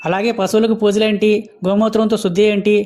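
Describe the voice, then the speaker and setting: Fast-talking cocky cartoon rooster voiced by an actor. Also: mid-range voice, level tone, darkish tone, a little hiss or hum, natural voice. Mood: chatty. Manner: boastful, teasing, slangy. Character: cocky, chatty young rooster hosting festival interviews. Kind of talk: Telugu comic cartoon voice acting.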